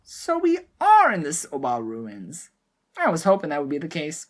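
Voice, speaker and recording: A man voicing a cocky, mischievous gnome showman in an audio drama, theatrical and taunting with drawn-out flourishes. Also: a little high, wildly swooping pitch. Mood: sly, amused. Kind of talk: acted audio drama dialogue.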